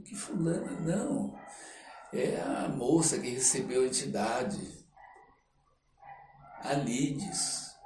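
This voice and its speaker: A man's voice: fairly low pitch, a little mellow. An older man with a Brazilian accent tells anecdotes conversationally, acting out dialogue and checking in with short tag questions. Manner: acts out quoted dialogue, frequent tag questions. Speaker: podcast guest, theatre artist and writer. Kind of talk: animated anecdotal storytelling in casual conversation. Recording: roomy echo, distant mic, faint noise.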